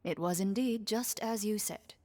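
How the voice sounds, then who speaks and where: A woman's voice: medium pitch, moderate pace, warm tone, close to an everyday voice. An actress voicing a composed, businesslike woman with dry, understated wit in a video game. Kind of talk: game voice acting.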